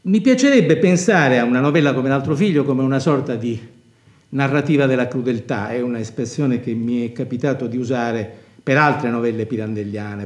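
An older male academic reflects on literature. He speaks deliberately, pausing to find the precise word, with a scholarly bearing. The sound is roomy and echoing, like an indoor stage. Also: medium pitch, darkish tone. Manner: scholarly, deliberate, hesitant searching for words. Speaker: university professor of performing arts. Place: dark indoor theatre stage set, seated at table.

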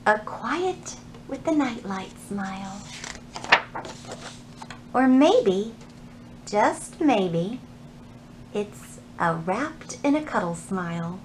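Woman in her thirties, children's storytime reader, very lively intonation, unhurried pace, expressive, faint low rumble behind. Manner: expressive, animated, addressing children directly. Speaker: children's librarian hosting storytime. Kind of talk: read-aloud storytime for young children. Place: indoors in a library.

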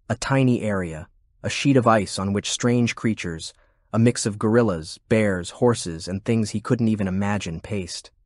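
A man's voice: lowish voice, a little mellow, dry recording, studio quality. A man reading scripted war fiction as an audiobook narrator.

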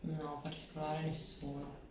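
A young woman, heard from a little way off in a very reverberant room. She speaks with flat, even intonation as a patient describing symptoms. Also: deep voice, unhurried. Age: 20s. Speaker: patient, osteopathy student. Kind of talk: answering clinical questions, describing symptoms. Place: small hard-walled treatment room, indoors. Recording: echoey room, distant mic, faint noise.

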